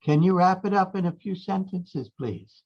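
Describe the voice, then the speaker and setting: A woman's voice: fairly low pitch, animated, normal speed. A woman guiding a support meeting, speaking politely and briefly. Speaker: meeting facilitator. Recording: dull, muffled far-off audio.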